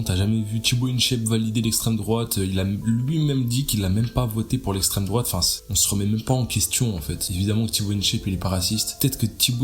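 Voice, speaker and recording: A man giving casual commentary to his viewers, chatty and direct. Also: fairly low pitch. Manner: chatty, addresses viewers as "les gars". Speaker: YouTube commentator.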